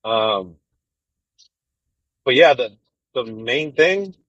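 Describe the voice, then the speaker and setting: A man's voice: mid-range voice, expressive, drawn-out words, fairly bright tone, dry recording. Trucker in his thirties, casual chatty livestream, says "dude" a lot, dull dry audio. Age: 30s. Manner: laid-back, rambling, full of fillers and "dude". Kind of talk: casual livestream chat with viewers. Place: inside a truck cab.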